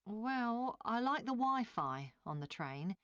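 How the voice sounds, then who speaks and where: A woman's voice: a little high, sing-song, slow, smooth, no room sound, lo-fi sound. A woman playing an obliging, matter-of-fact train passenger answering questions in a scripted listening-test dialogue. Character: woman journalist, obliging but mildly critical train passenger.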